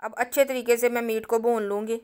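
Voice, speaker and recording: A woman talks viewers through a recipe, one step at a time. Her voice sits a little high and stays fairly even, with a smooth, clear tone. She explains each step calmly, like a patient cooking host. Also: brisk. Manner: calm, instructive, addresses viewers directly.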